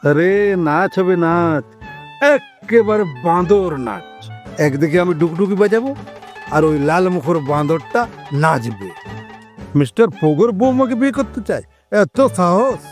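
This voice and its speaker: Boisterous comic dad for an audio drama male actor: middle-aged, boastful, protective, high-pitched and animated, music underneath. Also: a little slow. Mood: gleeful, combative. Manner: theatrical, teasing, exclamatory with colloquial banter. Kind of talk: Bengali audio story acting.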